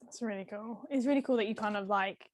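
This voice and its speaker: A woman's voice: mid-range voice, quick pace, dry recording. A woman interviewing a guest, asking questions hesitantly with many fillers.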